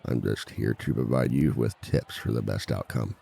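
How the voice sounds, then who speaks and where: A man playing a composed, dry-witted villain who teaches a seminar in a scripted ASMR roleplay, with a measured, polite authority and a gravelly voice. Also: mellow, full character voice. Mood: patient, dry. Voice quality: very deep, gravelly. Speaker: seminar instructor.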